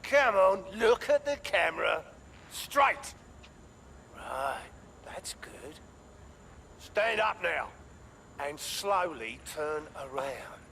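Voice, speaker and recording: Vicious high-strung gravelly trafficker. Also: male voice, very animated, very slow, long pauses, pushed and bright, a little hiss or hum, heavily acted. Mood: cold, commanding. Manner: coarse, swearing, bullying. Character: vicious, impatient male human trafficker barking orders. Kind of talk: video game acting.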